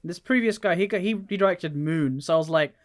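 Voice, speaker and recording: A man's voice: on the high side, a little fast. A man co-hosting a podcast, explaining things casually with some swearing. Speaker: podcast co-host. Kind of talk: casual podcast chat about games and films.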